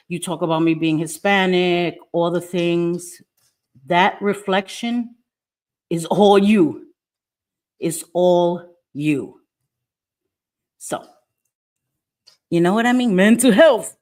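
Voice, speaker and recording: A woman's voice: lowish voice, a little mellow. A middle-aged woman from New York, hosting a casual livestream, speaking emphatically and defiantly and repeating her phrases. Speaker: livestream host. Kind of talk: casual livestream chat, reading viewer comments. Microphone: webcam or phone mic, live stream.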